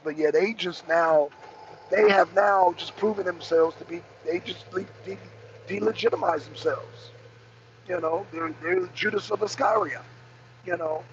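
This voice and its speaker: An American man with a raspy voice hosting a political talk show, giving impromptu commentary and emphatically repeating his key phrases. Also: sits high, fairly bright tone. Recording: dull lo-fi audio, clear background noise.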